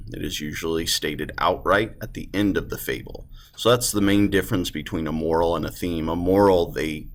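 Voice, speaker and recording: Low-voiced man teaching a lesson. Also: a bit bright. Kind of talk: casual lesson explanation to students.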